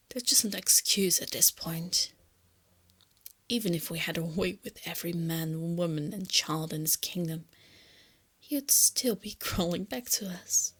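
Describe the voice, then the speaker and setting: A soft, husky lamia captor, played by a woman speaks in a lowish, breathy voice that often slips toward a whisper. She is smugly dominant and condescending, yet possessively tender, in an intimate ASMR monster-girl roleplay. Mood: whispered taunting.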